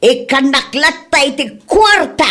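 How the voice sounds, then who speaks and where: Woman playing a slow, high-voiced melodramatic old mother-in-law. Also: animated, a bit bright, full character voice. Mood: demanding, scolding. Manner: melodramatic, whining, groaning. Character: scheming, complaining elderly mother-in-law, melodramatically feigning dizziness. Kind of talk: comedy radio drama acting.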